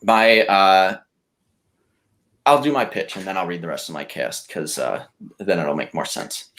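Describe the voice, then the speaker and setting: Low-voiced man pitching on a podcast. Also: measured pace, a bit bright. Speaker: podcast contestant pitching films. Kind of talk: casual chat with read-out pitches.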